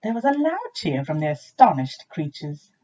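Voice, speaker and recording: Woman, children's story narration, very lively intonation, husky tone, lowish pitch, slightly muffled recording. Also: normal speed. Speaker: teacher reading a storybook aloud.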